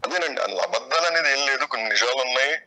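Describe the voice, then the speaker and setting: A man's voice: medium pitch, animated, over a noisy crowd, low-quality recording. A man answering interview questions spontaneously, defensive and evasive, often repeating himself. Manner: defensive, evasive, halting repetitions.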